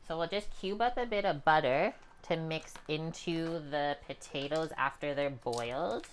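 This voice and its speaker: A young woman with a calm, chatty manner, walking viewers through a home cooking session in her kitchen. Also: low-pitched, measured pace, a little hiss or hum. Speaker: cooking streamer. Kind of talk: casual cooking demonstration.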